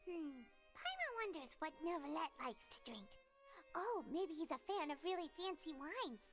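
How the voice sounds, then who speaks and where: A woman voicing a tiny chirpy girl companion who speaks of herself in third person, with a high squeaky voice. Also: sing-song, normal speed. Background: game music.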